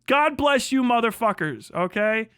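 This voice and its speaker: A man with a high, sharp voice rants casually as a livestream host, swearing freely and hammering points by repeating them. The sound is close and very dry, with a forceful edge to the delivery. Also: very animated, unhurried, clear, resonant tone, dry recording. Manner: profane, emphatic, repeats phrases for emphasis. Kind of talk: casual livestream commentary, comedic ranting.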